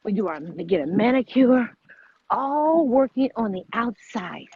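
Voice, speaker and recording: A woman's voice: fairly high pitch, lively intonation, a little slow, slightly rough, no room sound, phone-quality sound. A woman hosting a casual motivational fitness vlog outdoors, repeating her points as she urges listeners on. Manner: repetitive, exhorting. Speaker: vlog host.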